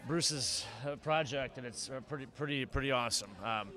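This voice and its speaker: A man giving casual, halting interview answers at a red carpet event, often repeating his words. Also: mid-range voice, sharp tone. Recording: outdoor crowd noise behind voice.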